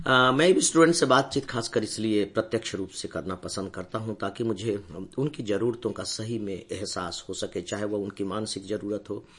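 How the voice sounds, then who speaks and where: Older male educator, hoarse, lowish voice, unhurried motivational address. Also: a bit bright. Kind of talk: motivational talk to camera.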